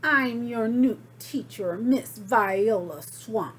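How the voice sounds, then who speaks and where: A woman voicing a stern, nasty substitute teacher in a storybook, with slow, drawn-out, snapping commands. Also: on the high side, a little hiss or hum. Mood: menacing, stern.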